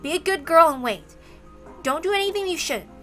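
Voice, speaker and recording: A woman's voice: very high-pitched, expressive, brisk, fairly bright tone, faint music behind. A woman voicing a busy, curt mother talking down to a child in a dramatic story reading. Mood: brisk, dismissive. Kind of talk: dramatic reading of a visual novel.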